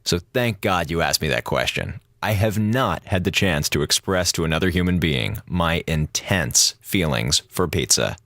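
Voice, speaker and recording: A man's voice: deep voice, fairly bright tone, no room sound, clean studio sound, heavily acted. An American man playing a sarcastic, grumbling space station communications officer, flippant and exasperated, delivering a radio drama monologue. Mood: wry, flippant. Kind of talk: audio drama monologue, mock radio address to schoolchildren.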